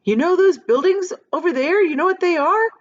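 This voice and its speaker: A woman's voice: extremely high pitch, expressive, a little fast, dull audio, pitched above the performer's own voice. A woman voicing an eager, confident young boy adventurer in a storybook reading. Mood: excited. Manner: exclaiming, eager.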